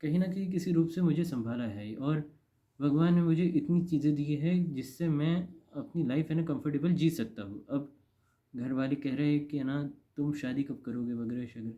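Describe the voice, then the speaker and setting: Indian male vlogger, thirties, very dark relaxed tone, repetitive confessional delivery, muffled low-fidelity recording. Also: normal speed. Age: 30s. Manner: rambling, repeats phrases for emphasis. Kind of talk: casual confessional monologue to camera. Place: indoor room. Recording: dull, lo-fi.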